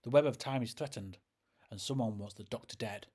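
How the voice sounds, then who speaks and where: A man hosting a scripted review, speaking in a measured, explanatory way. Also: medium pitch, a little mellow, slightly rough. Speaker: host and reviewer. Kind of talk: scripted narration, audio drama review.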